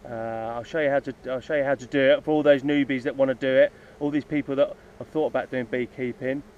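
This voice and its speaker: A man's voice: medium pitch, steady tone, quick pace, a little mellow. A man casually talking outdoors by his beehives, rambling with lots of ums and restarts as he explains his plans. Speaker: hobby beekeeper making videos. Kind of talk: casual unscripted vlog talk. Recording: dull lo-fi outdoor sound, faint insects buzzing.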